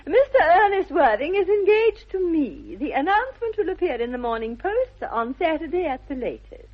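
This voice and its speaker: Imperious young society lady, upper-class English, high swooping voice, affected precise diction, vintage radio play. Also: sing-song, moderate pace. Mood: icily polite. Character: poised, haughty young aristocratic lady, grandly self-assured. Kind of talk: 1960s radio comedy of manners acting. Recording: dull vintage 1960s radio recording.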